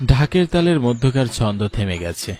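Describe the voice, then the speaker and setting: Male narrator, mid-pitched, solemn and measured horror storytelling, music underneath. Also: sing-song, a little mellow. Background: music bed.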